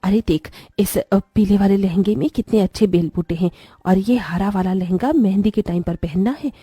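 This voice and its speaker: A woman voicing a sharp-tongued, scornful middle-aged mother in a dramatic cartoon story, scolding and taunting. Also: mid-range voice, normal speed, husky, dry recording, clean studio sound, close to an everyday voice. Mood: brisk, bossy. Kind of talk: Hindi cartoon story voice acting.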